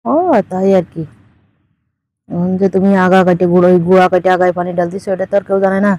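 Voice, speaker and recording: A woman's voice: fairly low pitch, monotone, fast talker, warm tone, lightly acted. A woman with a Bangladeshi accent playing a teasing, demanding older aunt in an improvised phone-call drama. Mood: matter-of-fact. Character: teasing, demanding older aunt who owns a fish farm.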